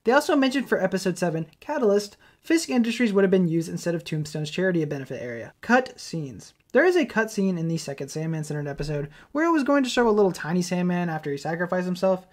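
Male video essayist, rapid delivery, informal fan commentary. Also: sits high, very animated.

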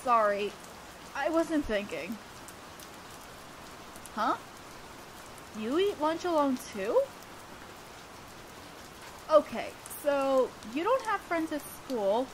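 A young woman playing an awkward, earnest teenage student council president in a romantic audio roleplay, speaking in a shy, halting way. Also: high-pitched, sing-song, slight background noise, heavily acted, poor audio. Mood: flustered.

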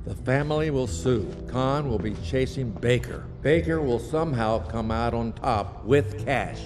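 A domineering lawman boss in a crime game acted by a man, mid-pitched and indignant. He bites out emphatic phrases in a reverberant space with music behind. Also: a little slow, natural voice. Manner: emphatic, clipped repetition. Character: indignant, domineering male police chief. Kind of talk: cinematic video game acting.

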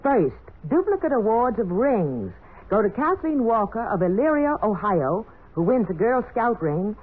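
A woman's voice: a little high, big pitch swings, measured pace, slight background noise. A woman announcing prizes on a 1940s children's radio show. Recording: muffled, tinny 1940s radio recording.

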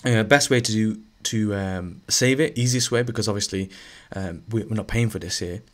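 A man's voice: fairly low pitch, normal speed. Man teaching over a screen recording. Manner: relaxed, thinking aloud with fillers and restarts. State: losing his voice.

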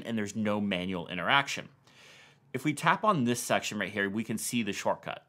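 A man in his thirties presents a tutorial in a casual, explanatory way. His voice sits at a medium pitch and moves up and down animatedly as he guides the viewer step by step. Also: fairly bright tone. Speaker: tech video host. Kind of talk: explanatory tutorial narration to camera.

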